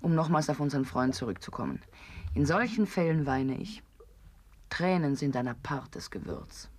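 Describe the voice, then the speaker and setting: Teasing, macabre woman in radio drama: low-pitched, very lively intonation, theatrical and sardonic, husky. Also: moderate pace, no echo, exaggerated voice. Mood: macabre, pontificating. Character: sly, macabre, teasing woman who devours her friends. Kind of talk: absurdist German radio play acting.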